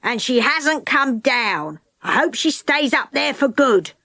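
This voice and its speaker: A grumbling old woman from a children's storybook, played by a woman reader. She scolds curtly and sourly, at an unhurried pace in a close, dry recording. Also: sits high, animated, bright, forceful tone, no room sound, full character voice. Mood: sour, grudging. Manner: scolding, curt. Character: cross, grumbling old woman in a fairy-tale land.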